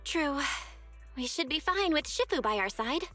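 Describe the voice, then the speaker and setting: Young female game heroine, high voice, earnest, caring, a bit stubborn, light music. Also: lively intonation, normal speed, pushed and bright. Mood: agreeable. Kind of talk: video game story dialogue.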